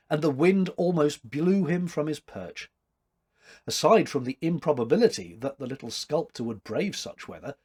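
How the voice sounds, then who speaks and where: A man in his fifties reading a literary story aloud with expressive narration. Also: mid-range voice, breathy edge. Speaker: story reader. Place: small domestic room indoors.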